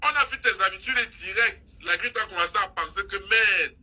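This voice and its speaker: Lady ranting on a live, sharp husky voice, scolding and mocking, repeats herself a lot. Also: mid-range voice, fairly quick. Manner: scolding, mocking, insistent repetition. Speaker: social media live host. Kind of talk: gossip call-out rant on a live stream.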